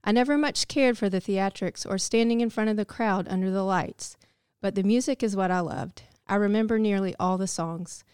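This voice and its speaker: A woman's voice: medium pitch, darkish tone, clean tone, no echo, studio quality. A woman giving a scripted, reflective devotional narration. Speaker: devotional speaker.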